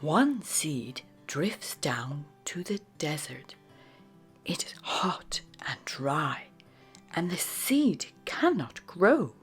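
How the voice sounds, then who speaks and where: Woman narrator for children's picture books: low, lilting and expressive, breathy, unhurried, over music. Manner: slow, deliberate, expressive storytelling. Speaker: storybook narrator. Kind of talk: children's read-aloud narration.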